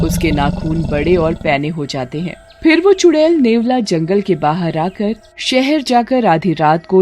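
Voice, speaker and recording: Lively woman storyteller over faint music. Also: on the low side. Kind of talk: scripted story narration.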